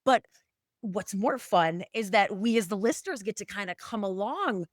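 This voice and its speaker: A woman's voice: mid-range voice, lively intonation, normal speed, no room sound, studio quality. A woman hosting a podcast, chatting casually about a drama episode. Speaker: podcast host.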